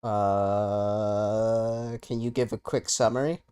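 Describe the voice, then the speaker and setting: A man's voice: fairly low pitch, fairly even intonation, takes it slowly, dark tone, clean tone. Joking young man in his twenties. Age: 20s. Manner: joking, teasing, many fillers. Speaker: podcast host. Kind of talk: casual chat.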